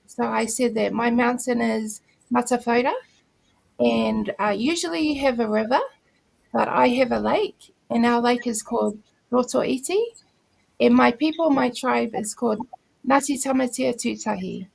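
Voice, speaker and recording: A woman's voice: on the high side, creaky, poor audio. A middle-aged woman from New Zealand with a New Zealand accent, a native Māori speaker, introducing herself unhurriedly on a video call. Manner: unhurried, formal greeting in Māori then warm explanation. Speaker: school principal and book circle guest.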